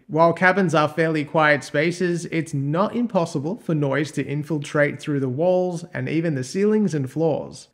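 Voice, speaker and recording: A man, giving upbeat, clear, scripted cruise advice to camera from a ship's suite. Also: fairly high pitch. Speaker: cruise vlogger. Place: cruise ship suite living room.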